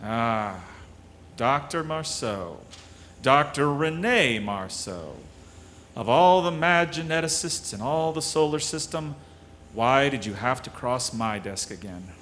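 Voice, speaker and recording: Man as a bright-voiced, animated space marshal hero. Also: medium pitch, takes it slowly, a little hiss or hum. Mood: weary resolve. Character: brisk, wry, heroic male space marshal. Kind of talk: retro sci-fi radio serial acting.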